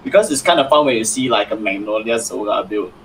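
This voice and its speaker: A man talking casually as a panelist on a card game stream, animated and quick to laugh, with many restarts. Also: on the low side, crowd noise, low-quality recording. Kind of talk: casual chat.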